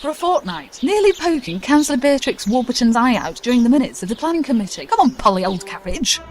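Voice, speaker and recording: A woman's voice: fairly high pitch, sing-song, fast. A woman playing a bossy, theatrical adventurer in a science-fiction comedy audio play. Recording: noisy ambience behind the voice.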